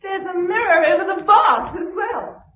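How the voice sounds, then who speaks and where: Spirited well-bred young woman, upper-class British accent, refined, radio drama, swooping pitch, dull old recording. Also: expressive, normal speed, a little hiss or hum. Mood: delighted, playful. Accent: upper-class British English. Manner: refined, playful.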